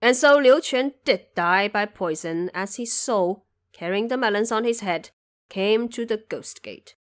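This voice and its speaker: A woman narrating a scripted audio drama in a measured, formal storytelling manner. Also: medium pitch.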